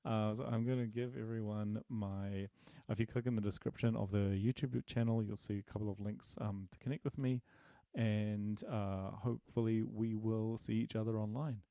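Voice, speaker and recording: A man answers programming questions on a live stream in a halting, thinking-aloud way, full of ums and self-corrections. His voice is dark and mellow with a gravelly edge. He is self-deprecating and friendly, and the sound is close and dry. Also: lowish voice, fairly even intonation, dry recording. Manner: halting, many fillers and restarts, thinking aloud. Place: home desk, dim room.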